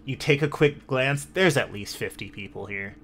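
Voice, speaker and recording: A man narrating a tabletop roleplaying game as game master, improvising dramatically with deliberate pauses. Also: medium pitch, fairly bright tone, slight background noise.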